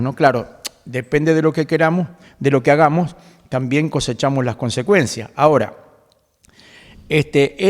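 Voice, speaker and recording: A man in his seventies with an Argentine accent, a pastor guest who explains scripture in a conversational preaching way, quoting verses and filling pauses with "este". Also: fairly high pitch, darkish tone, long reverb tail. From Galicia, Spain. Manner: quotes scripture at length, fills pauses with "este".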